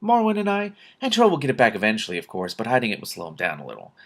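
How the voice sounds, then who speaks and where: A man's voice: mid-range voice, huge pitch swings, very fast, fairly bright tone, full character voice. A man voicing a practical, matter-of-fact princess with a dry, wry manner in a storybook reading. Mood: brisk.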